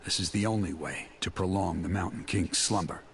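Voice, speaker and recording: A man's voice: sits low, a little fast, vocal fry, faint music behind. A man voicing a solemn elder in a video game, urging someone on in a grave, insistent way.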